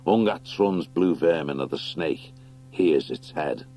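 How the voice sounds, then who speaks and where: A man voicing a stern, noble badger warlord with a measured, commanding manner in an audiobook. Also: a bass voice, warm tone, soft background music. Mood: grave, commanding. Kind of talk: audiobook character acting.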